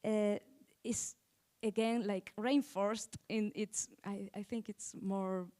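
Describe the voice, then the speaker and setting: A woman's voice: mid-range voice, fairly even intonation, slow, mellow. A woman speaking as the host of an event, giving a semi-formal welcome and introducing the speakers.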